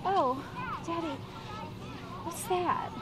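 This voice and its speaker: A woman's voice: very high voice, extremely slow, over a noisy crowd, exaggerated voice. A young woman playing a naive, melodramatic sheltered daughter in an improvised parody film, recorded outdoors. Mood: wide-eyed longing. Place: outdoors at a lakeside. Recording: noisy outdoor film audio, distant mic.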